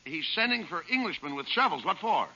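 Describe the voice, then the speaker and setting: A man playing an exasperated straight man in a 1940s radio comedy, firing off brisk questions. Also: sits high, sing-song, sharp tone, exaggerated voice. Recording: noisy dull old radio transcription.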